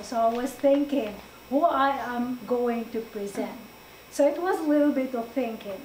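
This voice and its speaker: A woman from Morocco presenting to an audience in a halting way, with many fillers and frequent laughs. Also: a little high, darkish tone. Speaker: guest presenter. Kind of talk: informal talk to an audience. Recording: echoey room, distant mic, faint noise.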